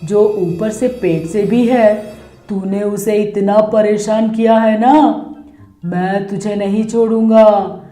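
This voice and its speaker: A woman voicing a vengeful ghost in a children's cartoon story, delivering menacing, drawn-out threats. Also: medium pitch, steady tone, warm tone, natural voice. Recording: echoey, music behind.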